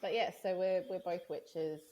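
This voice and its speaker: A woman's voice: fairly low pitch, steady tone, moderate pace, warm tone, rough recording. A woman in her thirties co-hosting a podcast, chatting casually, rambling and laughing often. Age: 30s. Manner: giggly, rambling. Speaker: podcast co-host. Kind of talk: casual chat.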